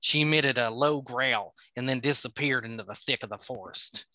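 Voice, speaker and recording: A man's voice: mid-range voice, moderate pace, sharp tone, no room sound. A man hosting a podcast, reading a novel aloud with playful, joking asides. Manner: playful, laughs at his own slips.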